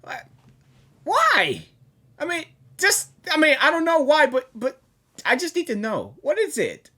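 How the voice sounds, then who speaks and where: A woman giving casual, excitable gaming reaction commentary, slangy, repeating words for emphasis. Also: sits high, measured pace. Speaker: gaming reaction video host. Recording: game music faintly behind.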